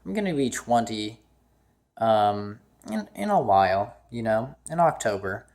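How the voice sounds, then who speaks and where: A man's voice: lowish voice, very animated, a little slow, darkish tone. A nineteen-year-old man from the United States giving a casual, rambling vlog update, full of ums and restarts. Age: young adult. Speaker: YouTuber.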